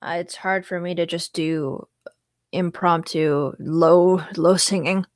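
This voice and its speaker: A woman's voice: on the low side, steady tone, slow pace, no echo, studio quality. A young woman streaming casually, rambling about singing and breaking into short song snippets. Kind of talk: casual livestream chat with singing.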